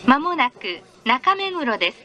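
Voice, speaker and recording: High, bright woman train announcer. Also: big pitch swings, a little slow. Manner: polite, formal, carefully enunciated. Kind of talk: scripted public train announcement. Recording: train announcement with clear vehicle noise. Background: moving train noise.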